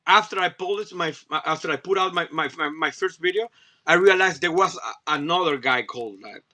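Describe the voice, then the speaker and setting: A man's voice: a little high, a little fast, bright, forceful tone. A man from Colombia who speaks Spanish natively, chatting as a guest toy reviewer in English with a Colombian accent, often stammering and repeating words. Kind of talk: casual chat on a live stream.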